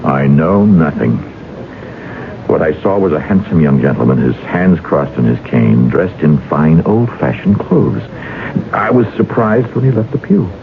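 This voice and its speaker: An actor playing an unsettled, earnest, questioning witness in a radio drama. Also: male voice, rumbling low, animated, unhurried, full character voice. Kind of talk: 1970s radio drama acting. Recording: muffled, noisy old radio recording.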